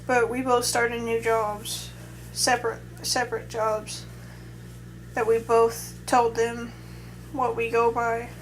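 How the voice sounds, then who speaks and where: A woman's voice: fairly high pitch, monotone, slow pace, slight background noise, low-quality recording. A young adult woman vlogger in casual chat, talking in a hesitant, rambling way with many restarts.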